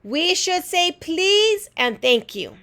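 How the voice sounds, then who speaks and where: A woman's voice: extremely high pitch, sing-song, takes it slowly, fairly bright tone, voice pushed up, full character voice. A woman voicing an earnest, polite little first-grade girl in a storybook, in a childlike, emphatic manner. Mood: eager.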